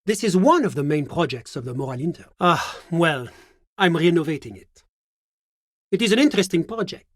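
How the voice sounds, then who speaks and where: Casting: a genteel, slippery game bureaucrat, smug and coy, very animated pitch, dry close recording. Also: male voice, moderate pace, dry recording. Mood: genial, informative. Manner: urbane, coy, condescending, theatrical. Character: suave, evasive male international bureaucrat, smug and genteel. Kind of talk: video game character dialogue.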